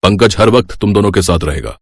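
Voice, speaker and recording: Man playing a firm, low-voiced wealthy father. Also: brisk, creaky, no room sound, clean studio sound. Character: rich, protective middle-aged father, firm but caring. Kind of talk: moral story character acting.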